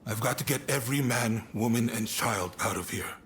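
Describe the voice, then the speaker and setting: An actor playing a resolute, burdened samurai commander in a video game cutscene, speaking in a measured, plain-spoken way with weary resolve. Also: male voice, medium pitch, a bit bright, slight background noise, close to an everyday voice. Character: frank, resolute samurai military commander, burdened but calm. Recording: music behind the voice.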